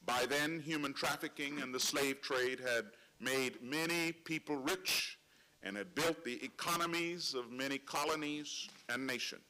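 A middle-aged man from Trinidad, seated at a panel table, delivering a prepared speech in measured, solemn tones with slow emphatic pauses into a table microphone. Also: mid-range voice, pushed and bright, low-quality recording. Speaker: conference speaker. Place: large conference hall, seated at a panel table.

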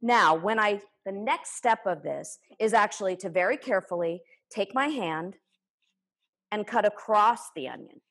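A woman's voice: mid-range voice, expressive, moderate pace, a bit bright. A woman in her forties giving a live cooking demonstration in her home kitchen, explaining each step with enthusiasm while she chops. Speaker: cooking instructor. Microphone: camera a few feet away.

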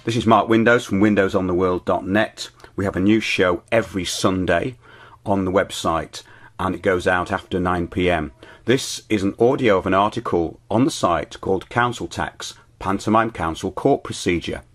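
Husky, low-voiced British man presenting. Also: normal speed. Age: middle-aged. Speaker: show host and presenter. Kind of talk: scripted narration and presenting.

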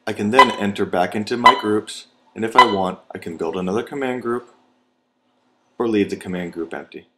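A man with an American accent and a low-pitched voice narrates an instructional video. He delivers scripted steps methodically, one at a time, as a trainer would. There is faint noise behind his voice. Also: moderate pace. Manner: methodical, step-by-step.

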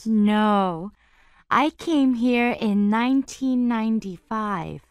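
A woman voicing a friendly young woman in a scripted dialogue for language learners, speaking slowly and carefully. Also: a little high, smooth, no room sound, studio quality. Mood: calm. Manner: slow, carefully spoken.

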